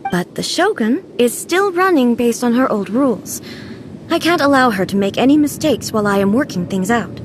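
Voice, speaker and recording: A woman plays a stately goddess from a video game, her voice on the high side and composed. She speaks formally and with measured aloofness. Noticeable ambient noise runs behind the dialogue. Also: lively intonation, lightly acted. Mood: calm, explanatory. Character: composed, dignified young-sounding female deity, aloof and formal. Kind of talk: video game character voice acting.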